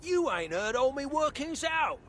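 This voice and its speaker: An actor playing a stubborn, eccentric old dwarf inventor in a video game, musing in folksy, rambling, colloquial speech. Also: male voice, very high voice, very animated, unhurried, a bit bright, slight background noise, full character voice. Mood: thoughtful, insistent. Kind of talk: dramatic video game acting.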